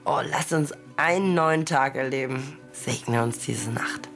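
Devout sinner, very creaky, slow, theatrical prayer, radio play. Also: female voice, a bass voice, fairly bright tone, faint music behind, heavily acted. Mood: pleading, swooping. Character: theatrical devout sinner praying for Kreuzberg's drunken souls. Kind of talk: German experimental radio play acting.

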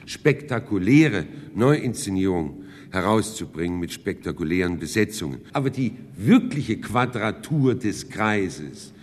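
Slow, theatrical male orator in a hall. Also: lowish voice, sing-song. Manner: theatrical, emphatic. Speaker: speaker at a public address. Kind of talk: formal speech.

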